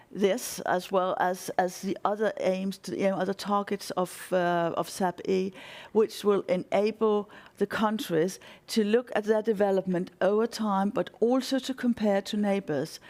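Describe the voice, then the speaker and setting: A woman's voice: mid-range voice, fairly even intonation, a little slow, darkish tone. A woman speaking as a panel guest, explaining things earnestly. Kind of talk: panel discussion.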